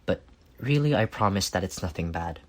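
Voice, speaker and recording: A man acting a reserved, awkward and earnest best friend in an intimate roleplay, speaking softly and haltingly. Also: deep voice, animated. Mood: calm, hesitant. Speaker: voice actor.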